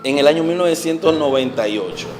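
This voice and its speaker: Un hombre dominicano de unos cuarenta años, evangelista, cuenta su testimonio con acento dominicano, serio y con muchas pausas y muletillas. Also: male voice, on the high side, animated, a crowd in the background. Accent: Dominican Spanish. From Dominican Republic. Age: 40s. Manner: earnest, hesitant with frequent fillers, trails off softly. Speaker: evangelist guest. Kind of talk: testimony interview.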